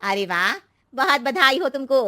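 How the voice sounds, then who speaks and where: A woman acts out an older neighbourhood auntie from a storybook, knowing and superstitious. Her voice sits fairly high and dry, and she leans on her words with slow, ominous emphasis. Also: big pitch swings, sharp tone, dry recording, full character voice. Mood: nosy, warm.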